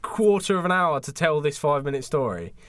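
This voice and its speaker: A man's voice: a little high, big pitch swings, fairly quick. A man co-hosting a podcast, bantering casually, teasing and interrupting. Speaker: podcast co-host.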